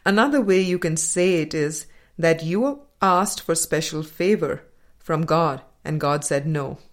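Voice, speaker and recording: A woman reading a spiritual self-help audiobook in a measured, deliberate way. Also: low-pitched. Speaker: audiobook reader.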